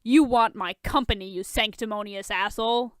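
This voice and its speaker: A woman's voice: medium pitch, fairly even intonation, moderate pace, clean tone, no echo, natural voice. A woman voicing a drunk, maudlin, belligerent billionaire hero in a story reading.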